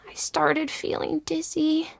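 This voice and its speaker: Slow, creaky, very high little boy. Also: monotone, a little hiss or hum, exaggerated voice. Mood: meek, worried. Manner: slow, faint, halting delivery. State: weak and feverish. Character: sick, frightened little boy, naive and sweet. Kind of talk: video game voice acting.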